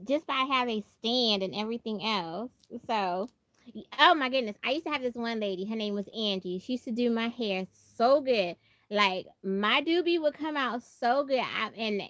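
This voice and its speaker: A woman's voice: on the high side, dry recording. A woman from Brooklyn with an American, country Southern-tinged accent, chatting casually and expressively as a livestream host, repeating phrases for emphasis. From Brooklyn, New York. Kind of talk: casual chat.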